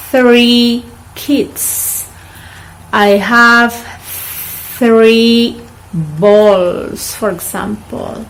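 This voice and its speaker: A woman teaching English pronunciation, deliberately repeating words for learners to copy. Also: mid-range voice. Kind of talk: pronunciation drill for students.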